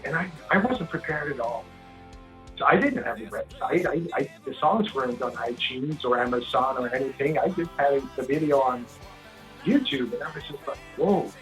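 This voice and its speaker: A man from Sweden with a big, gravelly voice, telling stories at length in a casual interview and often restarting his sentences. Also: medium pitch, moderate pace, a bit bright, faint music behind, poor audio. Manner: rambling storyteller, frequent restarts. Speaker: singer-songwriter guest.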